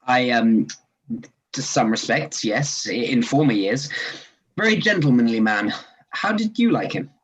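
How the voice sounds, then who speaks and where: An actor playing a smooth, charming young officer in a live-read radio play, probing with careful hesitation. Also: male voice, medium pitch, expressive, measured pace, fairly bright tone, rough recording. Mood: guarded.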